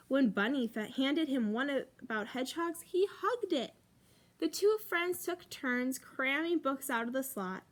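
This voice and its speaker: A woman reading a children's picture book aloud as a library storyteller, expressively and with emphatic pauses. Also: high voice.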